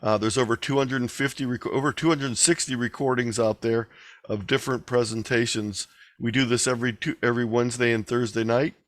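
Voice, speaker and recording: A man's voice: mid-range voice, steady tone, fairly quick, no room sound. A man speaking informally as the presenter and moderator of an online meeting.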